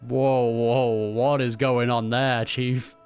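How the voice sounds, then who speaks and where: A man's voice: medium pitch, flat delivery, slow. A man gives casual reaction commentary as he plays a game. His voice is mid-range and his manner is chatty and informal. Game music plays faintly behind him. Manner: chatty, chuckling. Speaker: gaming streamer.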